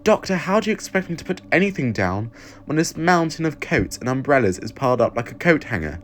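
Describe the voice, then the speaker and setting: A man acts out an older woman in an audio story, her voice lifted high. She is fond, fussy and quick to exclaim. There is clear noise behind her. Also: sing-song, a bit bright. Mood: bright, fussy.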